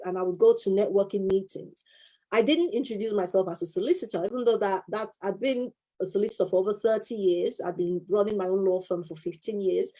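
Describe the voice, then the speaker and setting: A woman's voice: medium pitch, warm tone, low-quality recording. A woman in her fifties, a life coach and former solicitor, giving an earnest motivational talk and repeating her key phrases for emphasis.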